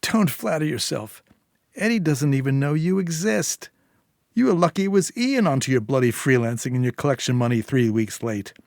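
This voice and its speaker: A sarcastic, bullying woman of the criminal sort , acted out by a male narrator, pitched well above his usual voice in a dry short-story recording. She taunts and needles with a hard, mocking edge. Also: animated, normal speed, gravelly, a little mellow, no room sound. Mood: angry, taunting.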